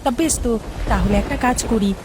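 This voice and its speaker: A woman voicing a cautious but excitable young village boy in a Bengali cartoon. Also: fairly high pitch, a little fast, noisy background, lightly acted. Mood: excited.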